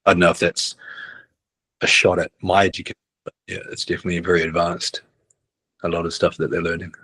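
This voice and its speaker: Low-voiced New coach, rambling. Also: male voice, completely flat delivery, fast talker, a bit bright, slightly rough, no room sound. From New Zealand. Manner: rambling, restarts often, ends with "right?". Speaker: basketball coach. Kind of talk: casual interview chat.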